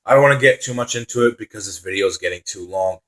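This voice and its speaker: A young man giving casual football reaction commentary on his own channel, enthusiastic and repeating phrases for emphasis, his voice dry and slightly raspy from talking so long. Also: fairly low pitch, quick pace, fairly bright tone, rough recording. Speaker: football vlogger.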